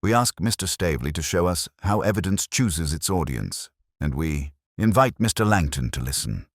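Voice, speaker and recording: A man voicing a patient, shrewd police inspector, speaking in a measured, quietly probing way in a detective story. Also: sits low, lively intonation, dry recording, clean studio sound. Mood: knowing.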